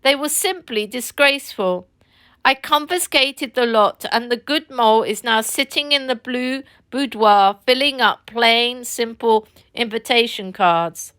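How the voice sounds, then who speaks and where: A woman's voice: a little high, a little slow, fairly bright tone. A woman voicing a kind but firm male water rat who speaks earnestly.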